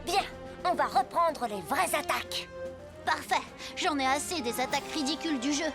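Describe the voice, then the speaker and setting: A woman voicing an eager young boy who leads the robots, giving quick, bossy commands. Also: high-pitched, expressive. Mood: commanding. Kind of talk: French cartoon dub. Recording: music under the dialogue.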